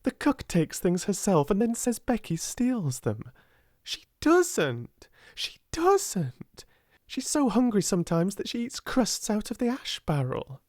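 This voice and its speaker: A woman's voice: medium pitch, sing-song, normal speed, few pauses, warm tone, dry recording, studio quality, voice pushed up, natural voice. A woman voicing a proud, half-starved young girl who speaks with fierce passion. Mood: outraged. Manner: passionate, intense.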